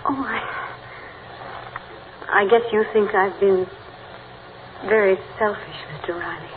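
Actress as a genteel, withdrawn widow, measured and formal, 1940s radio drama, narrow tinny sound, faint noise. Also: female voice, mid-range voice, fairly even intonation, lightly acted. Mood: subdued, grateful.

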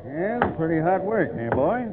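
An actor playing a kindly old circus hand spinning a yarn in a folksy, unhurried radio-drama style. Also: male voice, fairly high pitch, sing-song, a loud crowd. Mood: friendly, chatty. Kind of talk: 1940s-50s radio drama acting. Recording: tinny old radio recording, faint noise.

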